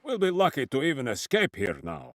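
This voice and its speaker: Grim, animated war leader. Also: male voice, mid-range voice, fairly quick, noisy background. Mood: bitter, worried. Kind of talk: video game cutscene acting.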